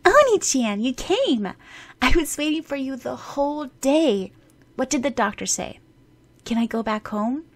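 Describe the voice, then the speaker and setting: A woman voicing a frail seven-year-old girl, speaking in a high, clingy, childlike voice. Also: very animated, unhurried, exaggerated voice. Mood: eager. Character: sickly sweet little girl, seven.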